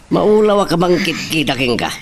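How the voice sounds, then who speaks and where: An actor plays an easygoing man in a rural Ilocano family drama. His slightly high voice swings up and down with an Ilocano accent, over faint background noise. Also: sing-song, moderate pace. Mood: reassuring. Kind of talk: Ilocano audio drama acting.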